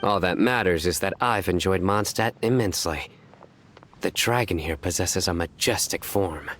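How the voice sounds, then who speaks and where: A stern, proud young man in a fantasy game. His voice is deep and solemn with a deadpan delivery, over faint background ambience. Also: normal speed, a little hiss or hum. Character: stern deadpan young man who tells bad puns. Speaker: video game character. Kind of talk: scripted game dialogue acting.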